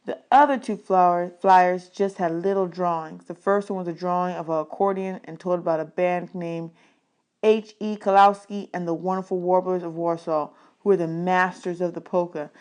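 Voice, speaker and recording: A woman reading a children's novel aloud as an expressive audiobook narrator. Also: fairly low pitch, normal speed, clear, resonant tone. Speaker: audiobook reader.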